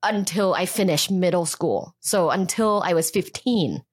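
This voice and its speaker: A woman's voice: lowish voice, animated, breathy edge. Candid woman from Thailand, chatting. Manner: candid, quick, laughs easily. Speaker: podcast guest, sex educator. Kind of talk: casual podcast chat.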